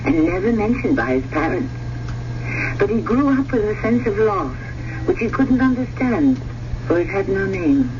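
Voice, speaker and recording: A woman's voice: medium pitch, lively intonation, normal speed, close to an everyday voice. A woman giving dramatic scripted narration for a radio drama. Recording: distant, muffled, noisy radio broadcast.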